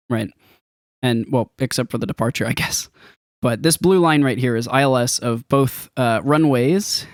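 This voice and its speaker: A man's voice: mid-range voice, no echo, studio quality. A man narrating a game demo in an informal tutorial style, rambling a little as he thinks aloud. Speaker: game developer demonstrating own game. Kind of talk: casual screen-recorded software demo and tutorial commentary.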